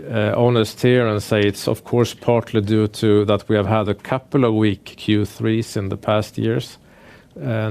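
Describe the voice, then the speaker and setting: A man speaking as a finance executive presenting quarterly results, in a measured, businesslike and somewhat hesitant way. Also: on the low side, darkish tone. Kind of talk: earnings call presentation, prepared remarks delivered semi-freely.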